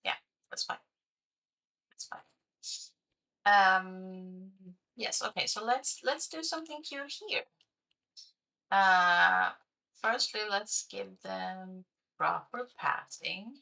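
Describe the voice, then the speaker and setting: Slow, gravelly woman streamer. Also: mid-range voice, pushed and bright, low-quality recording. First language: Swedish. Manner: chatty, thinking aloud, hesitant with fillers. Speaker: game streamer. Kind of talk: casual livestream commentary while playing.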